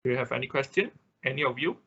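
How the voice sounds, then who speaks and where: A male instructor leads an informal online technical lesson in non-native English. His voice is sharp-edged and somewhat raspy at a middle pitch. He explains things by restating them and checking that listeners follow. The sound is slightly dull, like a lo-fi online call. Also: racing. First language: not English. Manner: explanatory, often checking in with listeners, repeating himself. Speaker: instructor of an online robotics class.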